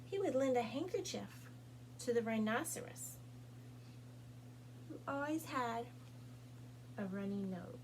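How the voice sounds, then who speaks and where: A woman reading a children's picture book aloud as a storytime host, with deliberate, expressive phrasing and pauses for young listeners. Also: on the high side, slight background noise. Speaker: storytime reader at a public library.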